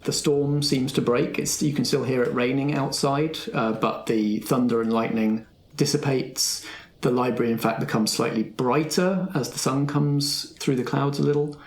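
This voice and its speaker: A woman's voice: extremely low pitch, steady tone, moderate pace. A woman narrating a tabletop game as game master, in a casual, improvised style. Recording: roomy echo, faint background noise.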